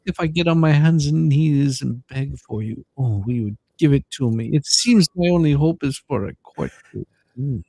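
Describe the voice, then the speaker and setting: Man acting as female inmate, pleading, suggestive, creaky voice, theatrical letter reading, dry close sound. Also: mid-range voice, mellow, no room sound, low-quality recording. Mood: pleading, overwrought. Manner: theatrical, drawn-out innuendo-laden delivery. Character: breathy, pleading, suggestively moaning female prisoner writing to judge. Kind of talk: comedic dramatic letter reading.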